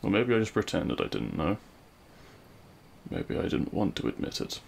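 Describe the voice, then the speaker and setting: A man playing a regretful, lovelorn supervillain in an audio roleplay, speaking in a hushed, halting, wistful way. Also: deep voice, very animated, gravelly, slight background noise, heavily acted. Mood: confessional. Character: regretful lovelorn male supervillain addressing his fallen hero. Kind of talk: scripted ASMR audio roleplay monologue.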